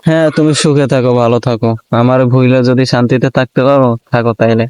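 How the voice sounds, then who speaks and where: A man's voice: mid-range voice, fairly even intonation, fast, a little mellow, clear, resonant tone. A man with a Bangladeshi accent chatting casually as a prank call host, teasing and pressing with questions. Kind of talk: casual teasing phone chat in colloquial Bengali.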